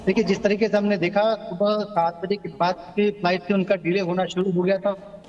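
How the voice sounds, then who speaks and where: A man giving a live news report as a field reporter, in a halting, hesitant delivery. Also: high voice, level tone, a little mellow, soft background music. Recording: muffled, long hall-like reverb.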